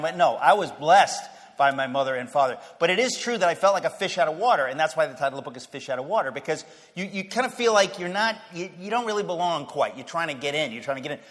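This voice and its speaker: Joking, restarting man in a reverberant hall. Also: a little high. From Queens, New York. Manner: conversational and humorous, with stammered restarts and rising emphasis. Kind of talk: casual personal talk.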